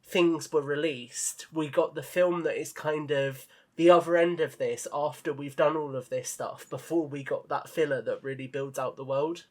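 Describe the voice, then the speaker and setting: Higher-pitched man, thoughtful podcast guest. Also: steady tone, normal speed, hardly any pauses. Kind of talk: casual discussion.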